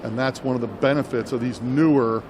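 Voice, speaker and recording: A man talks through a product demo in an explanatory way, elaborating and restating his points as an interviewer would. His voice sits at a medium pitch, and there is clear noise from a trade show floor behind him. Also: fairly even intonation, brisk. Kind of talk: unscripted product demo commentary. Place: indoor trade show booth.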